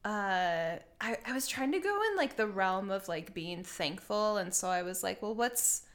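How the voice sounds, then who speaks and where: Woman, medium pitch, podcast co-host, casual chat, swears freely, giggly. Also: expressive, a little slow, fairly bright tone. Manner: profane, giggly. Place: living room.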